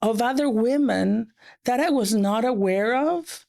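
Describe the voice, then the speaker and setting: A middle-aged woman guest, an economist whose first language was French, telling stories in an animated way, with quick bursts and soft, hushed quoting. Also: on the high side, vocal fry. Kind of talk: conversational interview.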